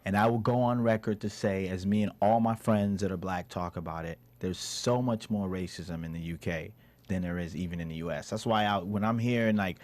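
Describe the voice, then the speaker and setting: A man's voice: sits low, steady tone, brisk, a little mellow. A man from London talking casually as a guest on a panel talk show. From London, UK, until about thirteen.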